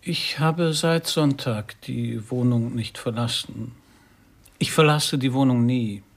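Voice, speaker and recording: Husky, anxious recluse. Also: male voice, mid-range voice, slow. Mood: stubborn, flat. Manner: terse, pedantic short sentences. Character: anxious reclusive husband afraid of crowds and insects. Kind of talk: 1990s German radio play acting.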